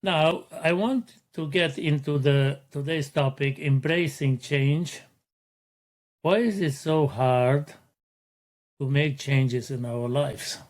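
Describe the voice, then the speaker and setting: Slow, earnest middle-aged man. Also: fairly high pitch, a little mellow. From Romania. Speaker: podcast host. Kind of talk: motivational podcast monologue.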